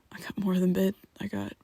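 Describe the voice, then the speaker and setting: A man voicing a young vampire who is his listener's best friend, speaking in a soft, breathy half-whisper with hesitant restarts, in an ASMR roleplay. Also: high-pitched, no echo. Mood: confessing. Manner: hesitant, stumbling restarts, pleading.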